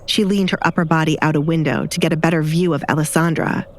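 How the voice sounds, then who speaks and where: A woman's voice: deep voice, steady tone, fast talker. A woman reading scripted dramatic fiction as an audiobook narrator, with light noise behind her.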